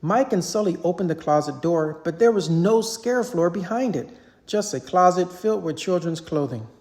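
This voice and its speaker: A parent reading a children's story aloud in an animated storybook narration style. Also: medium pitch, moderate pace.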